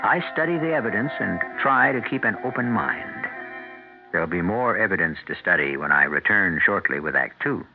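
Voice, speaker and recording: A man hosting a mystery radio series, narrating scripted suspense in a dramatic, deliberate, theatrical manner. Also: fairly low pitch, expressive, faint music behind. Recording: narrow old radio broadcast.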